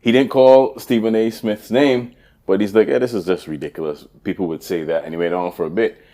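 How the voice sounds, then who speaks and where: A man in his forties from the West Indies, co-hosting a casual podcast chat, animated and quick to laugh. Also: on the low side. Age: 40s. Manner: animated, laughs often, restarts sentences. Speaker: podcast co-host.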